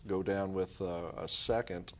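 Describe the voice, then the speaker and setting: A man's voice: low-pitched, steady tone, unhurried, pushed and bright. A middle-aged man answering interview questions conversationally as a basketball coach.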